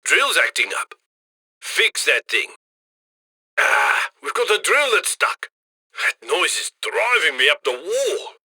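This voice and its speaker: A man's voice: a little high, sing-song, takes it slowly, creaky, pauses often, sharp tone, slightly rough, no room sound, studio quality, full character voice. A man playing a cheerful, cheeky heist handler who chummily guides a robbery crew in a video game. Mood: brisk, scheming. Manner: chummy, cheeky, colloquial, gleeful about loot. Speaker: mission handler. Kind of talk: video game mission voice lines.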